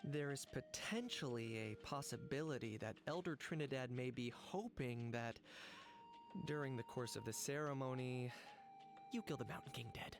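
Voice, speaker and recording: A man's voice: mid-range voice, normal speed, over music, natural voice. A man voicing a cool, blunt young warrior in a video game, speaking in a direct, unruffled, matter-of-fact way. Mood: deadpan. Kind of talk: video game character dialogue.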